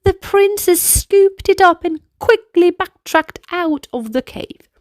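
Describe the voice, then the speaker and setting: High, lively woman reading to children. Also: no echo, clean studio sound. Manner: animated, deliberate, addressing children directly. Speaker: children's storybook reader. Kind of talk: expressive read-aloud narration with movement prompts for young children.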